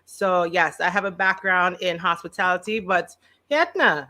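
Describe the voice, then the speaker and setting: A woman's voice: on the low side, normal speed. A Trinidadian woman, a food blogger on a panel, chatting playfully and slipping into dialect. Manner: animated, playful, drops into dialect. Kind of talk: casual video call panel chat.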